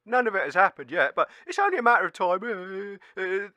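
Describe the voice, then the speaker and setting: A man's voice: high-pitched, very animated, pushed and bright, no echo, heavily acted. A man playing a gullible, indignant wasteland local who rambles and stumbles in a radio comedy. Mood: indignant, deluded. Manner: rambling, halting complaint. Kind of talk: comic radio acting.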